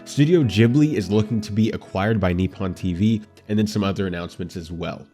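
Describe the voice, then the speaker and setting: A man's voice: lowish voice, fairly quick, over music. A man hosting a casual anime commentary show, congested and nasal from allergies, with a dry throat, chatting straight to his viewers. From United States.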